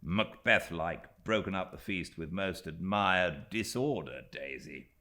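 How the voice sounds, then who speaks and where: Male narrator as capricious young gentleman, British accent, jocular, emphatic, animated pitch, bright tone, storybook dialogue. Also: unhurried, full character voice. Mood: restless, dismissive. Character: cheerful, capricious young gentleman, breezy and self-assured. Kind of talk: dramatised classic audiobook dialogue.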